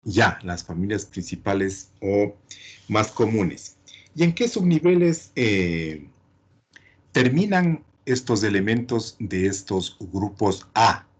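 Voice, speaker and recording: Male teacher. Unhurried, husky delivery, didactic, checks understanding with short tag questions. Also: lowish voice, very animated. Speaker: chemistry teacher.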